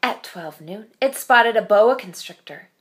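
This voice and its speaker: Expressive woman reading a picture book. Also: medium pitch. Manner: expressive, slows for suspense. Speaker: storyteller. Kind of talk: children's read-aloud. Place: home room with shelf.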